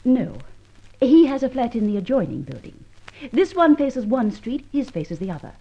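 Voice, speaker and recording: An actress playing a poised, cheeky society woman in an old-time radio drama, husky, airy and mock-innocent. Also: on the high side, big pitch swings, moderate pace, full character voice. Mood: mock-innocent, chatty. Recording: dull, lo-fi old radio recording.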